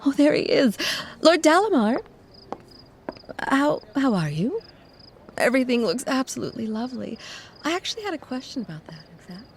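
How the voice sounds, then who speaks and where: A woman playing a protective, possessive female vampire who speaks softly and tenderly to her mortal lover in an audio roleplay. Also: high-pitched, very animated, normal speed, pauses often, a little hiss or hum. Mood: impressed, polite. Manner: soft, soothing endearments, breathy, genteel. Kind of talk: scripted ASMR audio roleplay.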